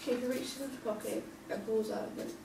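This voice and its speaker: A woman's voice: on the high side, steady tone, quick pace, gravelly. Woman narrating script directions, echoey room. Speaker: narrator reading stage directions. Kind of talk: scripted narration at a table read. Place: table read in a room. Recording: distant, noisy, echoey room recording.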